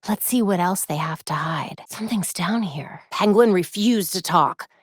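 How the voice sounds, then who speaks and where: A woman's voice: fairly low pitch, moderate pace, slightly rough, no echo, studio quality. A woman playing a determined, wry young female vigilante superhero in a video game, speaking in a focused, conversational way. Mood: hushed. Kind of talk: video game character dialogue lines.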